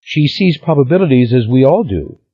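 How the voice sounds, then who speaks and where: A man's voice: mid-range voice, expressive, moderate pace, slightly rough, voice pushed up. A man voicing a sulky, melodramatic young woman niece in an audiobook. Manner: dramatic, sighing. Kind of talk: audiobook character voice. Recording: slightly dull lo-fi recording.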